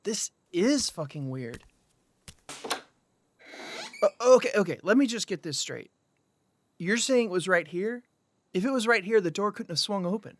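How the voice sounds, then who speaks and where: A man's voice: high voice, expressive, moderate pace, long pauses. An actor playing a persuasive, easily exasperated young man in casual, naturalistic audio drama dialogue. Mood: puzzled.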